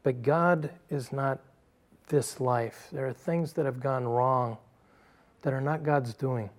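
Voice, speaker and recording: A man speaking as a guest in a religious discussion, deliberate and emphatic, repeating his key phrases. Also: mid-range voice, fairly even intonation. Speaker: panel guest.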